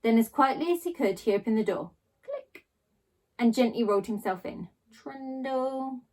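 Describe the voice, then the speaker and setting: A woman reading a children's story aloud in a theatrical way, with dramatic pauses and drawn-out emphasis on key words. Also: a little high, a little mellow. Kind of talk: expressive children's storybook narration.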